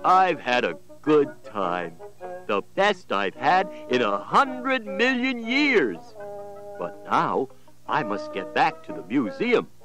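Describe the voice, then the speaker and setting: A man voicing a gentle, polite male dinosaur from a museum in a children's storybook reading. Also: mid-range voice, sing-song, takes it slowly, over music, pitched above the performer's own voice, rough recording. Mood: friendly. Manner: polite, cheerful.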